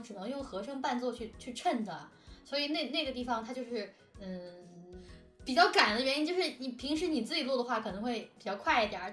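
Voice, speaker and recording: A woman's voice: on the high side, fairly bright tone, reverberant, recorded from a distance. A woman chatting casually and animatedly, giggly and talkative, as one of an audio drama team. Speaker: audio drama production team member, co-host. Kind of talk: casual behind-the-scenes chat.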